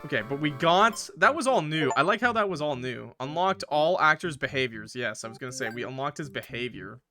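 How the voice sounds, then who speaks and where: Animated, bright-voiced man livestreaming a game. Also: a little high, brisk, soft background music. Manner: excitable, playfully combative, swearing banter with viewers. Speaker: live streamer playing a game. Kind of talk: animated casual livestream chat and game commentary.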